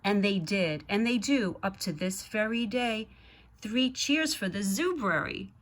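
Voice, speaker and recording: Woman reading a picture book slowly. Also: mid-range voice, lively intonation. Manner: measured, addresses young listeners directly. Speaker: guest storybook reader. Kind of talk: children's picture book read-aloud with asides to children.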